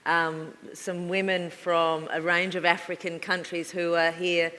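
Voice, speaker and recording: A woman on a panel, speaking thoughtfully with frequent pauses and fillers. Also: fairly low pitch, flat delivery, bright, forceful tone. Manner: thoughtful, hesitant with many fillers. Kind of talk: panel discussion. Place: university lecture hall. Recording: reverberant lecture hall.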